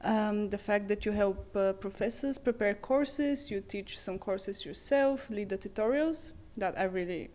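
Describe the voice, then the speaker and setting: A woman's voice: mid-range voice, measured pace, few pauses, a little mellow. A woman in her twenties from Bulgaria, a student giving an informative presentation to an audience. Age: 20s. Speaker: research master's student.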